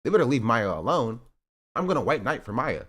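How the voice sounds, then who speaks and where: A man's voice: medium pitch, very animated, brisk, a bit bright. A man in his twenties streaming, rambling through casual political commentary with sarcastic asides and self-corrections. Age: 20s.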